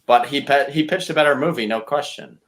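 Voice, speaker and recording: A man talking casually as a podcast contestant, blunt, argumentative and quick to swear. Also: mid-range voice, fairly bright tone. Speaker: podcast contestant pitching movie reboots.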